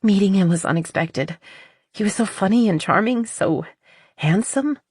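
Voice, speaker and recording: An audiobook narrator voicing a nervous, earnest middle-aged woman confessing, with a halting, shaky delivery. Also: mid-range voice, husky, no room sound, clean studio sound, voice pushed up, close to an everyday voice. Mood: wistful.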